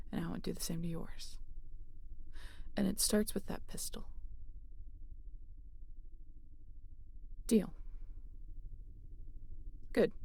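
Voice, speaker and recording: A woman's voice: lowish voice, gravelly, dark tone, husky, full character voice. A woman playing a guarded, sardonic scientist aboard a spaceship in a sci-fi audio roleplay, with a dry, conversational delivery. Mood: softening, teasing.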